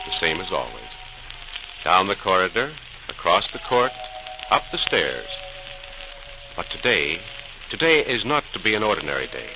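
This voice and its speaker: A man narrating a 1940s radio drama as a solemn, suspenseful storyteller, theatrical and emphatic, on a crackling old recording. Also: fairly low pitch. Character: solemn, suspenseful male storyteller of scientific discovery.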